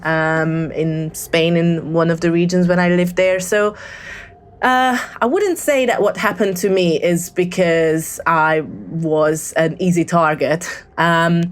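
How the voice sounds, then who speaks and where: Woman, lowish voice, halting delivery, lots of ums, casual voicemail story. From Hungary. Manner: hesitant, many ums and restarts. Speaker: caller leaving a voicemail. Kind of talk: casual spoken true story.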